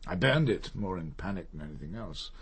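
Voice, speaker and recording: An actor playing a proud, reserved older gentleman in a radio drama, speaking formally and deliberately, with guilt under the surface. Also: low voice, lively intonation, slightly rough, exaggerated voice. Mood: controlled regret.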